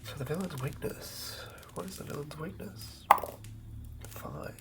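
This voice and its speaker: A man doing improvised ASMR in a breathy whisper, unhurriedly murmuring to himself as he works. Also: fairly high pitch, measured pace, very noisy. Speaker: ASMR host.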